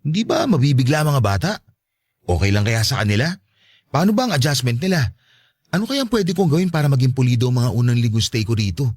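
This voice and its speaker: An actor playing a sweet, excitable, joking boyfriend in a radio drama. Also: male voice, medium pitch, fast, husky, no echo. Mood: surprised, flustered. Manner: animated, joking. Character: Rodel, jokey, sweet, excitable macho-less boyfriend. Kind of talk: Tagalog radio drama dialogue.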